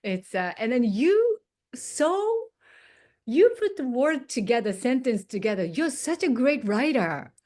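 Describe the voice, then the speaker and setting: A woman hosting a casual interview as a non-native English speaker, effusive, with halting phrasing. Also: on the high side, big pitch swings, darkish tone. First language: not English. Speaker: interview host, coach.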